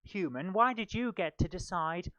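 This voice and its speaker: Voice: medium pitch, expressive, normal speed, a bit bright, no echo, close to an everyday voice. A stand-up comic doing an indignant Geordie monkey, muffled sound. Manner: aggrieved, questioning. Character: indignant monkey, grumbling about great apes, easily soothed by bananas.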